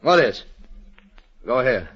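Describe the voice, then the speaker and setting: An actor playing an unruffled, tough nightclub grifter in a 1940s radio crime drama, offhand and slangy. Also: male voice, mid-range voice, very animated, measured pace, a bit bright, breathy edge, exaggerated voice. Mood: subdued. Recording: dull old radio recording, faint music.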